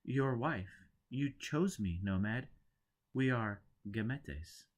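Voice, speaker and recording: A man's voice: mid-range voice, lively intonation, slow. A man voicing a proud, devoted young bride from a science cult, making solemn declarations.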